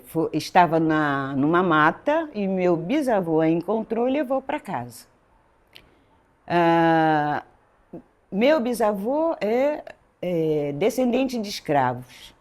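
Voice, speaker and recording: Woman, seventies, from northeastern Brazil, interview guest, storytelling, lively intonation. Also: on the low side, slow pace. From Recife, Pernambuco, Brazil. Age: 70s. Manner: meandering, self-correcting storyteller who acts out dialogue. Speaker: French teacher and school director, guest. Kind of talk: relaxed life-story interview.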